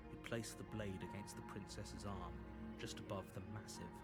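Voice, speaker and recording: A man's voice: on the low side, steady tone, normal speed, natural voice. Stern, ominous game narrator voiced by a man. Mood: ominous, measured. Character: stern, controlling male narrator, exasperated and ominous. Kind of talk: dramatic second-person game narration. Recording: loud music under the voice.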